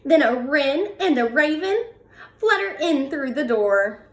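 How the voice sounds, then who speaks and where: Lady reading a kids picture book, high lively voice, kinda far from the mic. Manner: expressive, drawn-out words, asks listeners questions. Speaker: children's read-aloud host. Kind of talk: animated picture book read-aloud for young children. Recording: roomy echo, distant from the mic.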